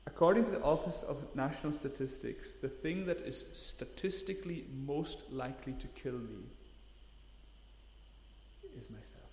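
A man's voice: mid-range voice, animated, normal speed, darkish tone, slight background noise. A man, preaching a sermon in an earnest, emphatic way, leaning hard on key words. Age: 30s. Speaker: pastor. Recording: echoey hall, long reverb.

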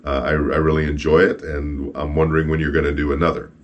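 A man with a rough, froggy voice from a cold hosts a podcast, chatting casually with joking asides and reading stories aloud. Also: very deep voice, little pitch movement, fast, muffled recording. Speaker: podcast host and street evangelist.

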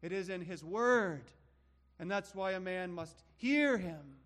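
A man preaching a sermon, slow and emphatic, building his points through rhetorical questions. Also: high-pitched, lively intonation, a bit bright, big-room sound.

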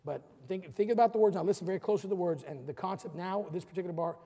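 A man's voice: sits high, fast, dark tone, long reverb tail. A middle-aged man preaching a sermon, urging his congregation with rising emphasis and calling the music team up. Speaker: pastor. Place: church sanctuary.

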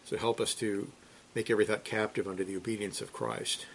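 A man's voice: lowish voice, fairly even intonation, fairly quick, gravelly, slight background noise. A man who teaches a weekly Bible study, reading a theology text aloud with emphasis, then commenting with frequent restarts.

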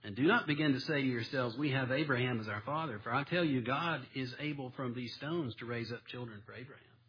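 A man's voice: medium pitch, fairly quick, breathy edge, highs cut off. A man preaching a sermon in a church, folksy and self-deprecating, with homespun stories and plain illustrations. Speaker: pastor. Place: church sanctuary.

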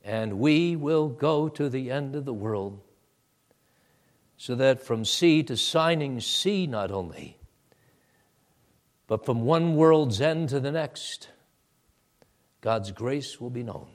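A man preaching a sermon and leading a prayer, moving from quiet low asides to loud, high exhortation. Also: lively intonation. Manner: deliberate, drawn-out preaching delivery. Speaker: pastor.

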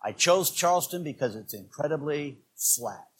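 A man in his sixties preaching a sermon as pastor, swinging between quiet asides and loud, emphatic preaching. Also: a little high, expressive, normal speed, slight background noise. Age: 60s.

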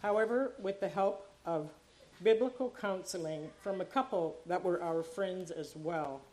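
A woman's voice: on the low side, unhurried, darkish tone. A woman in her sixties whose first language is Dutch reads her personal testimony in church, earnest and at times tearful. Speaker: church member giving a baptism testimony.

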